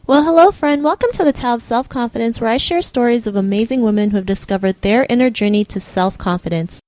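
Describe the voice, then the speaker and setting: A woman's voice: on the high side, fast talker, a little mellow, no echo. A woman hosting a podcast in an upbeat interviewing style. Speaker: podcast host.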